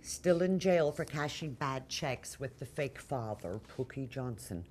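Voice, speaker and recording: Low-voiced, combative lawyer acted by a woman. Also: expressive, measured pace, a little mellow, a little hiss or hum. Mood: insistent. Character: indignant, combative female lawyer arguing in court. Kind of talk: filmed courtroom drama.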